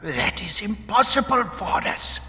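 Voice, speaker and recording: A man voicing an anxious, servile little alien engineer in a sci-fi audiobook, with a strained, creaky voice and a nervous, deferential manner. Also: on the high side, expressive, very slow, voice pushed up, heavily acted. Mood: panicked, pleading. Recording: long reverb, faint music behind.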